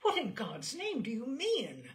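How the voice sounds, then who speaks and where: Audiobook role: woman reader playing urgent, agitated sister, raised sharp voice, demanding answers. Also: a little high, wildly swooping pitch, a little slow, voice pushed up. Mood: horrified.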